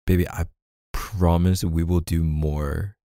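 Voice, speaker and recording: A man's voice: low-pitched, flat delivery, slow pace, dark tone, dry recording, clean studio sound. A man playing a laid-back, apologetic boyfriend in an intimate audio roleplay, talking casually and hesitantly with coaxing pet names. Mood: exasperated, apologetic. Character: laid-back, apologetic young boyfriend soothing his neglected partner. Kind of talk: boyfriend ASMR roleplay, casual intimate one-sided dialogue.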